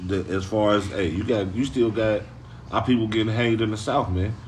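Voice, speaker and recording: A man's voice: on the low side, steady tone, moderate pace, recorded from a distance, a little hiss or hum, rough recording. A man with an American accent giving informal, exhorting religious talk, colloquial and peppered with short interjections that check on his listeners. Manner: colloquial, punctuates with "man", "hey" and "all right". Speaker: speaker leading a religious open forum.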